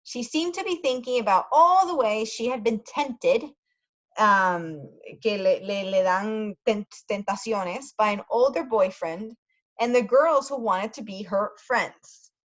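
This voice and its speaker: A woman's voice: mid-range voice, unhurried, muffled recording. A woman tutor reading a story aloud for language learners, carefully repeating key words and adding short translations. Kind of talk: slow read-aloud of a story for English learners, with brief Spanish glosses.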